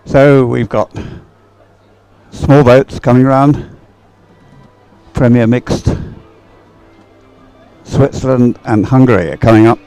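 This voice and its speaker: Male sports commentator, unscripted and halting, calling a race as he watches, some music behind. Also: medium pitch, fairly even intonation, warm tone, smooth, no echo, rough recording. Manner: halting, thinking aloud as he watches.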